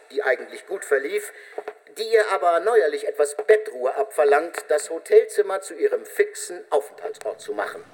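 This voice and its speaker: Higher-pitched male radio narrator, formal. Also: a bit bright, rough recording. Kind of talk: scripted documentary narration in a radio play.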